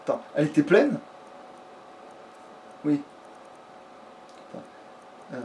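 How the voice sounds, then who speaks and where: A man's voice: on the high side, very animated, slow pace, a little mellow. A man does casual, muttered game commentary with a friend. His talk is informal and a little crude. There is light background noise on the amateur recording. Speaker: let's player.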